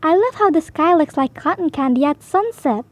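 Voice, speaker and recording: High-pitched woman reading a children's storybook. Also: lively intonation, moderate pace. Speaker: storybook reader. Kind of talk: expressive children's bedtime read-aloud.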